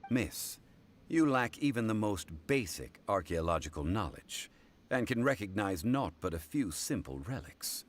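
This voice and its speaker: A man voicing a composed, erudite gentleman consultant in a video game, speaking in a measured, formal, authoritative way. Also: on the low side, very animated. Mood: calm, assertive.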